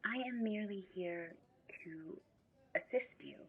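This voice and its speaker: A woman's voice: mid-range voice, a little slow, breathy edge. A woman acting in a supernatural audio drama as a meek, earnest, otherworldly assistant, hesitant and deferential, in broken phrases, on a muffled recording. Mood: apologetic, deferential.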